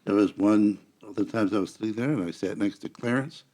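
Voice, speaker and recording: A man's voice: fairly low pitch, fairly quick, warm tone. A man with an American accent, earnestly telling anecdotes in a casual conversation as a podcast guest.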